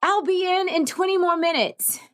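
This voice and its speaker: A woman's voice: extremely high pitch, normal speed. A woman playing a driven, self-critical teenage girl athlete in an audio drama. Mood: impatient.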